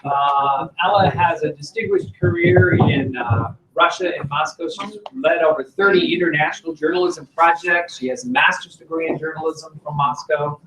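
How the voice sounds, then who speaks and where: A man moderates a panel and gives smooth, formal introductions. His voice sits fairly high. He sounds far from the mic in an echoey room, with faint noise behind him. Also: expressive, normal speed, hardly any pauses. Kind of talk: introducing speakers.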